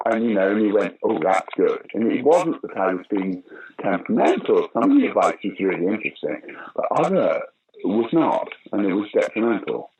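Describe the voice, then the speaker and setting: Animated older man telling theatre stories. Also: lowish voice, fairly quick, dull audio, slight background noise. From United Kingdom. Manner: animated storyteller, acts out quoted voices, many restarts. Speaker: actor and director being interviewed. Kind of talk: casual interview chat.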